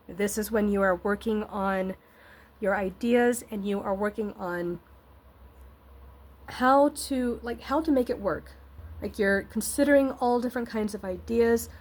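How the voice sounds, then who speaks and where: High-voiced woman, slightly scratchy and congested. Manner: rambling, searching for words, encouraging. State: congested nose, getting over an illness. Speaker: tarot reader and host. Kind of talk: casual spoken tarot reading.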